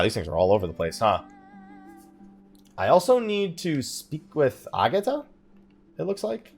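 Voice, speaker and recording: A man giving casual let's play commentary, chatting and rambling as he thinks aloud while he plays. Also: medium pitch. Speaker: gaming YouTuber, let's play host.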